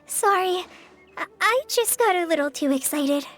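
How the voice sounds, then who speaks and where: A shy, earnest young trainee ranger who stammers and hesitates. Her voice is very high and lively in pitch. The delivery is gentle video game dialogue. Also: normal speed, soft background music. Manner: timid, stammering.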